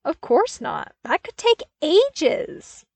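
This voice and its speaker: A woman acts out an earnest young man from an audiobook story. He is animated and emphatic, quick to get baffled or exasperated. The sound is dry, with no room on it. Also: high voice, vocal fry, dry recording, voice pushed up, full character voice. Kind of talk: audiobook character acting.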